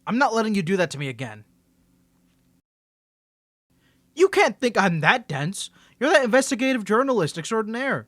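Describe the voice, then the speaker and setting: A man acts out a confident young male superhero in an intimate audio roleplay. His voice sits a little high and swings animatedly as he teases and banters, tripping into stammers when flustered. The sound is dry, with no room around it. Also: dry recording. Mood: teasing. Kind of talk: scripted audio roleplay, ASMR channel.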